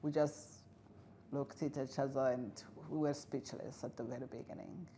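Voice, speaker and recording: A middle-aged woman from Rwanda, whose first language is Kinyarwanda, speaking earnestly as an interview guest with frequent pauses and fillers. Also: rumbling low, steady tone, warm tone, a little hiss or hum. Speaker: guest, refugee integration expert. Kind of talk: interview conversation.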